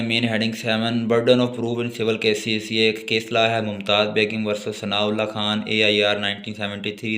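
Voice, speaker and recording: A man with a Pakistani accent giving a law lecture, alternating deliberate reading of legal text with explanations in his own words. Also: lowish voice, fairly bright tone. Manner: switches between slow, deliberate reading in English and quicker explanation.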